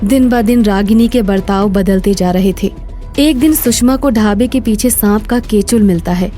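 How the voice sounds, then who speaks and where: A woman's voice: medium pitch, moderate pace, mellow, faint music behind. A woman narrating a scripted story as its storyteller.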